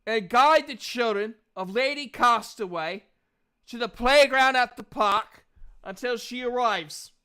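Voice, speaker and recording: A man narrating a tabletop fantasy game as game master, with halting phrasing. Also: very high-pitched, bright, forceful tone, smooth.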